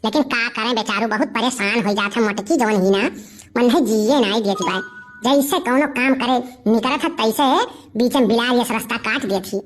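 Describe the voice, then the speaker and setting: A woman's voice: on the high side, normal speed, faint music behind, lightly acted. A woman voicing a suspicious, chatty, grumbling village auntie with an Awadhi accent in comic cartoon dialogue. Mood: musing.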